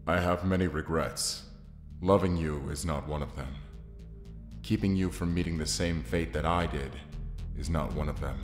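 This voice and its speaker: A man playing a solemn, tender spirit from beyond death in an audio drama, speaking in measured, poetic phrases. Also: deep voice. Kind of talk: dramatic audio roleplay monologue. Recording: long cavernous reverb, music behind.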